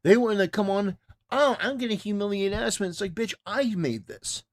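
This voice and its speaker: A man's voice: sits high, expressive, normal speed. A man giving casual, opinionated livestream commentary in an emphatic, repetitive way, with stop-start phrasing. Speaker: livestreamer reacting to a clip.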